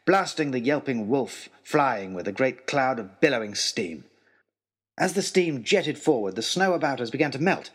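A male storyteller delivers a first-person adventure tale. His delivery is theatrical and expressive, with pitch that rises and falls widely. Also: slightly rough.

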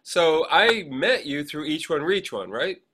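A man with an American accent hosting a casual chat, animated and given to acting out quoted remarks. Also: on the high side, unhurried. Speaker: podcast host, actor and director.

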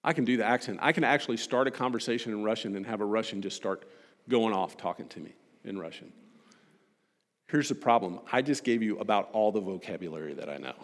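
A man delivering a sermon to a congregation as a preacher, emphatic and rhetorical, building points through repetition and pointed pauses. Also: fairly low pitch. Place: large reverberant hall. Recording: long hall reverb.

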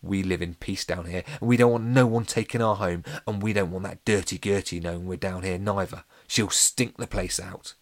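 A man voicing a mischievous, boastful, centuries-old poltergeist father in a storybook, excitable and roughly spoken. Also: sits low, normal speed, hardly any pauses. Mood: stern, menacing. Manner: gloating, excitable, rough ungrammatical speech. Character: mischievous boastful 950-year-old male poltergeist dad.

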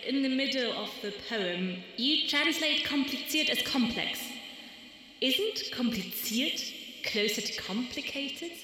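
Echoey, bright-voiced woman in literary conversation. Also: high-pitched, expressive, slow. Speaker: poet in conversation with a translator. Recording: echoey, reverberant hall.

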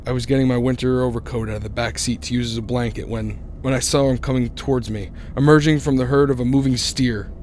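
A man's voice: mid-range voice, steady tone, fast, hardly any pauses, a little mellow, close to an everyday voice. Actor as haunted, unraveling man, noisy recording. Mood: haunted, brooding. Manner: stammering, anxious. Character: haunted man on a road trip, frightened and unraveling. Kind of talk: radio drama first-person narration and acting. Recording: noisy radio drama recording, vehicle ambience.

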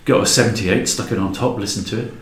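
A man's voice: fairly low pitch, fast, a little hiss or hum. A man telling anecdotes informally as a guest in a casual podcast chat. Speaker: podcast guest, show creator. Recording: roomy, echoey sound.

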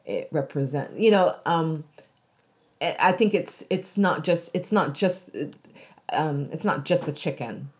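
A woman's voice: low-pitched, lively intonation, measured pace. An American woman being interviewed, talking thoughtfully with many restarts and easy laughs. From United States. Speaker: interview guest. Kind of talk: reflective conversational interview.

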